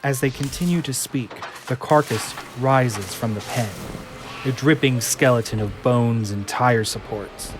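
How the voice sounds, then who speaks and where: A man's voice: medium pitch, a little slow. Male drama narrator over music. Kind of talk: scripted audio drama narration.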